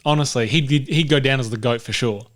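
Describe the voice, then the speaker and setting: A man with a mid-pitched voice shares hosting on a film podcast. He speaks casually, with blunt and dryly contrary replies. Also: quick pace, fairly bright tone. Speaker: podcast co-host. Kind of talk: casual film review banter.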